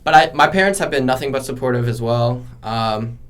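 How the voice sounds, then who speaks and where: A young adult man, a college student guest, answering casually and haltingly as he thinks aloud. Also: medium pitch, a bit bright.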